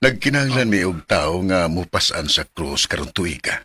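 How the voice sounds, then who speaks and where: An actor playing a kindly, encouraging parish priest in a radio drama. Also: male voice, deep voice, lively intonation, normal speed, slightly rough, heavily acted. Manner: gentle, encouraging, mixes in English.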